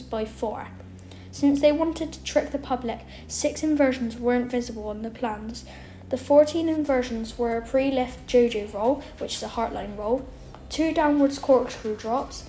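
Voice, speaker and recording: A woman's voice: a little high, dark tone, low-quality recording. A woman reading a scripted documentary narration in an explanatory style.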